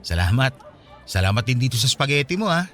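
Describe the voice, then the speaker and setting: A man's voice: medium pitch, sing-song, fairly quick, a bit bright, soft background music. An actor playing an easygoing, unromantic young man talking casually with a friend in a Filipino radio drama. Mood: grateful.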